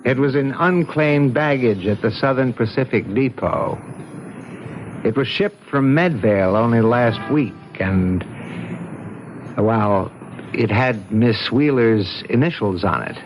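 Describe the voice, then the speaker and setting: An American man acting in a 1970s radio drama as a polite, methodical, quietly insistent police lieutenant. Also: mid-range voice, takes it slowly, over music, rough recording. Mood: measured, grave. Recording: slightly hissy 1970s radio broadcast.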